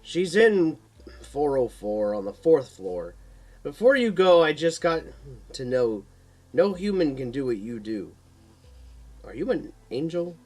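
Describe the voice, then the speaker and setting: A man's voice: on the high side, big pitch swings, unhurried, long pauses, a little mellow, voice pushed up. A man voicing an indignant, insistent older female nurse in a story, scolding and questioning. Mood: curious awe.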